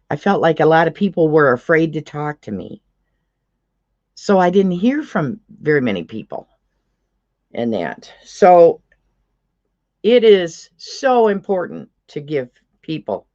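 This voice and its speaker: Middle-aged woman host, deep voice, unhurried and chatty, slightly dull lo-fi stream sound. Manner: chatty, laughs often, reads chat comments aloud. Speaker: livestream host. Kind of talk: casual livestream chat.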